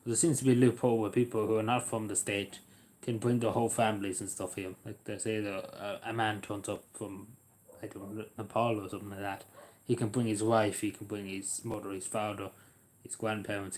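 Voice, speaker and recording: A man's voice: lowish voice, little pitch movement, fast talker, few pauses, a little mellow. A man chatting casually on a livestream, rambling and hesitant with lots of fillers.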